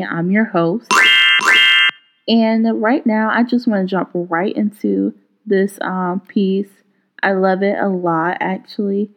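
A woman's voice: mid-range voice, narrow, tinny audio. A woman hosting a spoken-word show, introducing it in a chatty, informal way. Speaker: host.

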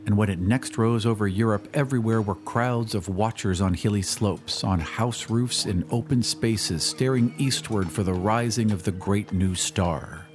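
Low-pitched male story narrator. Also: a little mellow. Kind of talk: dramatic scripted story narration. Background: music.

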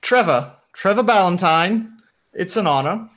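A man voicing a courteous gentleman at a party, polite and eager. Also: high voice, lively intonation, a little slow, pitched above the performer's own voice.

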